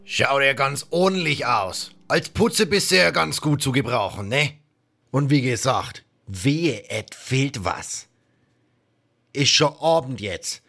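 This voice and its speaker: Man playing a loud, bossy pirate captain. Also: mid-range voice, lively intonation, slow, creaky, voice pushed up, full character voice. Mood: grudging, bossy. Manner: colloquial, barking orders, North German slang touches. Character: gruff, bossy one-eyed male pirate captain, middle-aged.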